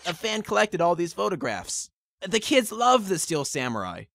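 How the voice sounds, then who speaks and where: A man's voice: sits high, very animated, moderate pace, creaky, fairly bright tone, no room sound, clean studio sound, exaggerated voice. A man voicing an earnest young defence lawyer, hesitant at times and assertive at others, in an amateur game fan dub.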